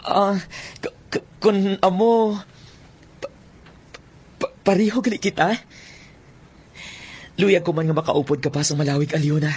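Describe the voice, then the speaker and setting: A man's voice: high voice, level tone, a little slow, pauses often, darkish tone, husky, exaggerated voice. An actor playing a lovestruck young man, stammering and exclaiming, in a Filipino-accented radio drama. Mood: flustered, smitten. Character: lovestruck, flustered young man wandering the forest. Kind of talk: Philippine radio drama acting. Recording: faint music behind the voice.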